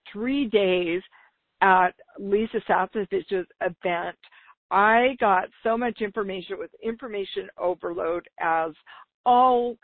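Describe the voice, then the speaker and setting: A woman with a slightly raspy voice, talking informally and enthusiastically as the solo host of a business podcast. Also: mid-range voice, no room sound. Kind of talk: upbeat solo podcast talk. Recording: dull lo-fi radio-like sound.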